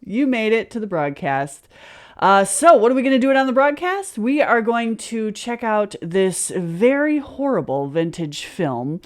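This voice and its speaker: A woman's voice: fairly high pitch, very animated, unhurried. A middle-aged woman hosting a casual livestream in an informal, joking, sardonic manner, talking into a close desk microphone. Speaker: host of a live reaction show. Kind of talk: casual livestream chat and reaction commentary. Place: small room at home. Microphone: close desk microphone on a boom arm, with headset.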